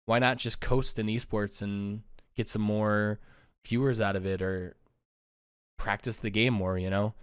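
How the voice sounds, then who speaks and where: A young man talking casually to his channel's viewers in a subdued, low-energy, fairly monotone way, sounding sad and downcast. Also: measured pace, clean tone, dry recording, studio quality. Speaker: YouTuber and pro gamer. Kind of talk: casual vlog talk to camera.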